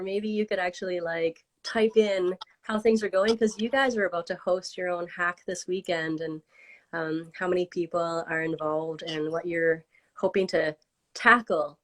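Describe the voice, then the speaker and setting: A woman's voice: lowish voice, a bit bright. A woman hosting a casual interview over a video call, enthusiastic and encouraging. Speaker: host.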